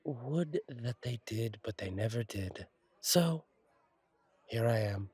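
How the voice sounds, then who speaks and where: Casting: male actor for an easygoing fallen angel barkeep, mid-pitched and husky, wry and kind, intimate conversational roleplay. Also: animated, takes it slowly, darkish tone, dry recording. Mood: friendly, curious. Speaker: bartender. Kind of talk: intimate audio roleplay, one-sided conversation.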